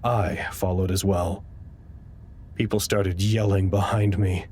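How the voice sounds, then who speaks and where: Earnest low-voiced anxious site staffer. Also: male voice, flat delivery, slow pace, darkish tone. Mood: sober, weary reporting. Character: earnest, anxious male research-site staffer logging reports. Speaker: actor in an audio drama podcast. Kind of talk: naturalistic audio drama acting, log narration and dialogue.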